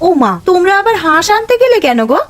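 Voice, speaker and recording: Woman voicing a high, fast-talking village housewife. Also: big pitch swings. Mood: fond fussing. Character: bossy village wife, daughter and mother. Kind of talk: animated cartoon dialogue.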